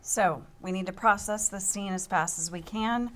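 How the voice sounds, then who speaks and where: A woman playing a tough, all-business forensic team leader of about forty, brisk and commanding, at a table read. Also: medium pitch, natural voice.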